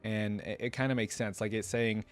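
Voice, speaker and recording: Low-voiced man reacting and reading aloud. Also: level tone, moderate pace, faint music behind. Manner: casual, stops to explain and reflect. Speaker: reaction video host. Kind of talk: reading a story aloud with off-the-cuff commentary.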